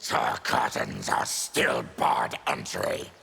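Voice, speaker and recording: A man voicing a bitter, proud mutant warrior in a fighting game, with a gravelly growl and a slow, menacing delivery. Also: extremely low pitch, very animated, sharp tone, heavily acted. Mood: solemn. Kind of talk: fighting game character dialogue.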